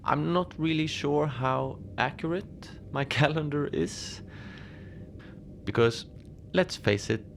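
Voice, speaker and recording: A man playing a mild, unbothered middle-aged station manager in a cozy audio drama, confiding in a halting, rambling, understated way. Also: on the high side, creaky, slight background noise. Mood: musing, mild. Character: mild, unbothered lone Antarctic night-shift station manager. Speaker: podcast fiction narrator, lone facility manager on radio.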